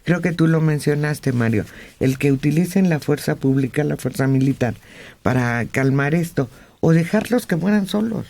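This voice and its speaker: A Mexican man speaking on a radio panel, explaining points in a measured way. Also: a little high, animated, vocal fry, a little mellow. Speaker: radio host.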